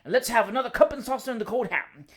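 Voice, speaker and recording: A reader voicing Mister Button, a loud, overfamiliar middle-aged man who booms and repeats himself in a storybook reading. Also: very animated, fast. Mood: boisterous. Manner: booming and repetitive.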